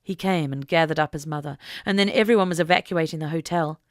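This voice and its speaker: A woman's voice: low voice, quick pace, no echo, studio quality. A woman narrating an audiobook story in a dramatic, scripted reading style.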